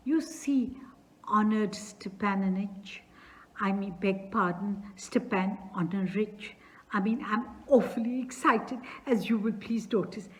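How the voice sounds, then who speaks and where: A woman's voice: mid-range voice, animated, measured pace, natural voice. A woman reading aloud as a nervous, flustered male landowner who stammers while asking for a daughter's hand.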